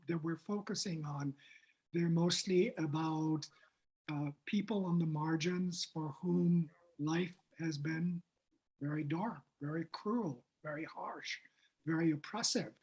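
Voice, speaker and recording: Higher-pitched man moderating a panel. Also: poor audio. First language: Hindi. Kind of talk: panel discussion.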